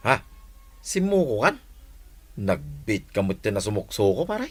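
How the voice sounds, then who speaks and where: An actor with an Ilocano Filipino accent playing an inquisitive, familiar young village buddy in a radio drama. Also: male voice, lowish voice, very animated, a little slow, no room sound. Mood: questioning, surprised.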